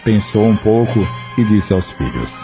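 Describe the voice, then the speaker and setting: Low-voiced male audio novel narrator. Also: sing-song, a little slow. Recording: muffled lo-fi audio with music.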